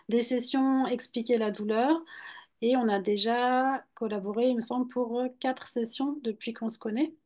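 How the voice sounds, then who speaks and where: A woman co-hosts a live webinar over a video call. Her voice sits a little high. She talks briskly and conversationally and puts questions to her co-host. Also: a little mellow. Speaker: physiotherapist and teacher, co-host.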